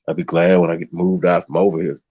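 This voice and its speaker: A man with a deep bass voice hosting a casual livestream chat, given to preachy rants. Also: little pitch movement, fast, dark tone, dull audio. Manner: ranting, preachy asides. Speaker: stream host.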